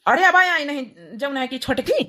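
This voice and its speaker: A woman's voice: high voice, moderate pace, pushed and bright. A woman voicing an exasperated young village husband in a cartoon comedy, chiding in a rustic Awadhi accent. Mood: concerned, annoyed.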